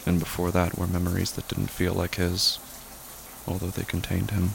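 A man narrating a horror story in a somber, grave and hushed manner, with deliberate pauses. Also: low-pitched, monotone, vocal fry. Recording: loud rain noise behind voice.

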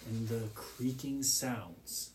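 A man's voice: mid-range voice, slow pace, a little mellow, slight background noise. A man acting as game master, narrating a tabletop game with descriptive scene-setting.

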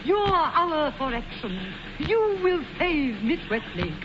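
A woman's voice: sits high, big pitch swings, slow pace, background noise, exaggerated voice. An actress playing a rousing, militaristic girl guide leader, declaiming orders in a 1940s radio comedy style. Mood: rousing, commanding. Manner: declamatory, drilling. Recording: muffled old radio with loud music.

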